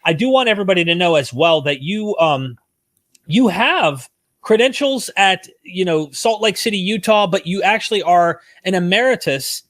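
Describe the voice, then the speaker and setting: A man's voice: high voice, expressive, normal speed, a bit bright, dry recording. A man hosting an interview podcast, asking questions and pointing listeners to linked material in a friendly tone. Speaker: podcast host.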